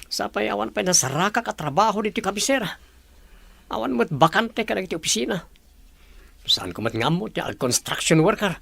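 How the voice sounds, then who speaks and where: An actor playing a flustered household worker in an audio drama, with a gravelly voice. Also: male voice, sits high, lively intonation, brisk, a bit bright, dry recording, heavily acted. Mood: chatting. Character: household worker, a nervous man. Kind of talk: Ilocano audio drama acting.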